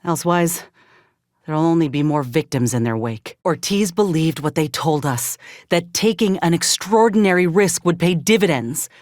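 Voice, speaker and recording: An actor, a woman, playing an earnest, steadfast ex-soldier in a space frontier video game, speaking in a plainspoken, measured drawl. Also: low voice, lively intonation, a little mellow, dry recording, clean studio sound. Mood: earnest, determined. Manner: folksy, plainspoken, measured delivery. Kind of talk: video game character dialogue.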